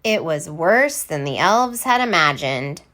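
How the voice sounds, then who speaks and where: Slow, animated woman reading a storybook. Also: sits low. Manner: theatrical, deliberate, emphatic word by word. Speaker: storybook reader. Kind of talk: expressive children's read-aloud narration.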